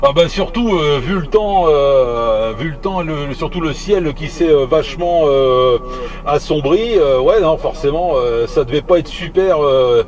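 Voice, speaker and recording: A man talks on CB radio from a noisy vehicle. His voice sits slightly high, and he speaks in halting, repeated bits with a jocular, teasing tone. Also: expressive. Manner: hesitant, halting, repeating words. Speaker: amateur CB radio operator. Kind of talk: casual radio chat.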